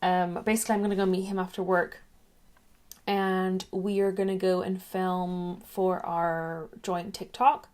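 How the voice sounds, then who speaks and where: A woman's voice: on the low side, level tone, a little slow, darkish tone, smooth. Chatty woman vlogging on a webcam. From England, raised in Ireland. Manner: chatty, rambling, drifts off topic. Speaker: YouTuber. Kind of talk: casual chatty vlog.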